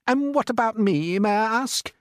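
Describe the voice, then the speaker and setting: A man's voice: high-pitched, lively intonation, normal speed, no echo, studio quality, pitched above the performer's own voice. A man voicing a proud, boastful female spider in a children's story, with emphatic self-assurance. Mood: offended.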